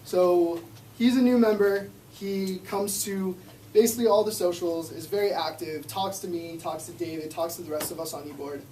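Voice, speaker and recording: A young man with a slightly high voice emcees a student club meeting in an easygoing, upbeat manner. He sounds distant in an echoey classroom with faint noise. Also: normal speed, dark tone. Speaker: club president running the meeting. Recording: echoey room, distant mic.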